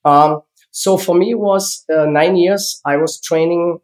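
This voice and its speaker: Man, fifties, German accent, non-native English, slightly high voice, halting, lots of fillers, interview guest. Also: fairly even intonation, a little mellow, poor audio. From East Germany. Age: 50s. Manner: halting, searching for English words, frequent fillers. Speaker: guest, former gymnast. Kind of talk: interview storytelling.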